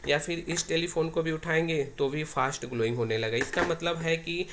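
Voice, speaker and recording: A man's voice: a little high, normal speed, noisy background. An Indian man giving an informal hands-on tutorial, explaining step by step and often repeating himself. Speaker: tech tutorial presenter.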